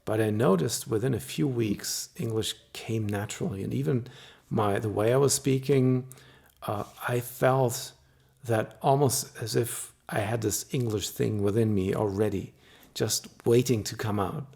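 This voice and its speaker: A middle-aged man whose first language is German, a hypnotist talking to camera in a calm, explanatory and softly persuasive way. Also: mid-range voice, a little slow, creaky. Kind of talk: solo talk to camera.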